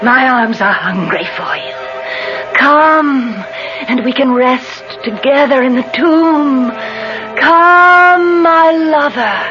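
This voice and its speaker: Very high, slow seductive vampire. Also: female voice, over music. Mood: hungry seduction. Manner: drawn-out, crooning, luring. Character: seductive female vampire, unholy, hungry and beckoning. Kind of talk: old-time radio horror acting. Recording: dull old radio recording.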